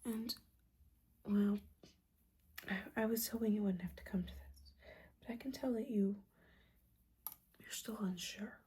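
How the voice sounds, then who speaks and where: A woman playing a gently judging, caring witch mentor in an ASMR roleplay, whispering soothingly and conversationally. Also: mid-range voice, fairly even intonation, a little slow, long pauses. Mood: gently coaxing. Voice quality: breathy whisper.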